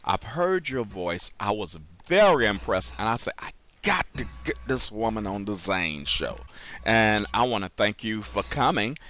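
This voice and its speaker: A man hosting a chat interview show, speaking in halting, hesitant phrases. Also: lowish voice, lively intonation, pushed and bright, slight background noise. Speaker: talk show host.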